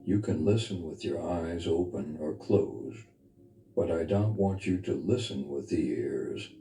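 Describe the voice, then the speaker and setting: Very dark, low-voiced man guiding meditation. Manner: soft, unhurried, intimate, earnest instruction. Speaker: meditation guide, spiritual teacher. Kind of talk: guided meditation, spiritual teaching. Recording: reverberant voice over background music. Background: music.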